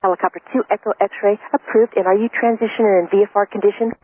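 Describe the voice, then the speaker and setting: A man working as a tower controller, reading out procedural clearances over the radio. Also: high-pitched, steady tone, fast talker, no echo. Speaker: airport tower air traffic controller. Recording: tinny radio with faint static.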